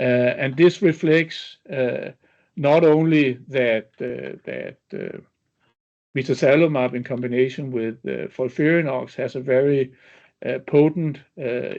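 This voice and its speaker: Halting male presenter, dull webinar audio. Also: medium pitch, a little mellow. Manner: halting, hesitant delivery with frequent ums. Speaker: company executive presenter. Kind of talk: investor webinar presentation of clinical results. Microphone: webinar call connection.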